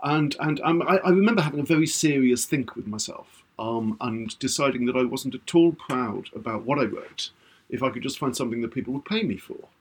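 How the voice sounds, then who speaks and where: A man's voice: medium pitch, animated, brisk, breathy edge. A man in his sixties from England, a writer on a podcast, telling his story in a casual, chatty way with hesitant restarts. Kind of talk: casual chat.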